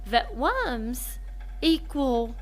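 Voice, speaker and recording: An actress playing a small, naive, earnest female mole sidekick, speaking deliberately in a comic audio drama. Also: high-pitched, big pitch swings, heavily acted.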